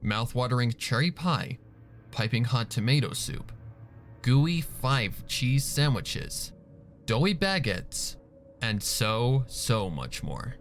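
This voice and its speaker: A man's voice: mid-range voice, big pitch swings, slow pace. A man reading a story aloud as scripted, dramatic narration. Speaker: fan fiction narrator. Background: background music.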